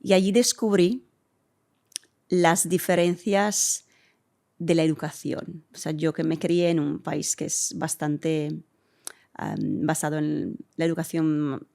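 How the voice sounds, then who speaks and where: A woman from Italy, a guest in an interview, answering thoughtfully and pausing with hums as she searches for words. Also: sits low, vocal fry, mellow. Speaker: interview guest, community center director.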